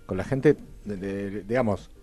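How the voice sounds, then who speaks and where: A man's voice: fairly low pitch, a little slow, dark tone, faint music behind. A man with a Rioplatense Argentine accent in a radio panel discussion, putting questions informally.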